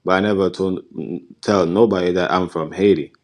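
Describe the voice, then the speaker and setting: A man from the Virgin Islands with an island accent, speaking as a guest in a casual live-stream chat, repeating himself defensively with many halting restarts. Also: low-pitched, steady tone. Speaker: panel guest.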